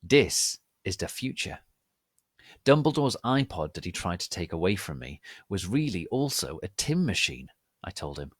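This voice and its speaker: A man reads a story aloud in a fairly low voice. His narration is theatrical and mock-serious, with highly varied intonation and a dry, close sound. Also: very animated, normal speed, slightly rough, dry recording. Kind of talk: dramatic reading of fan fiction.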